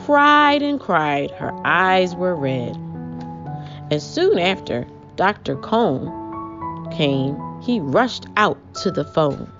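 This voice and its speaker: A woman reading aloud to young children as host, with an expressive, measured storybook delivery. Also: lowish voice. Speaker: children's book reader, host. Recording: muffled audio with prominent music.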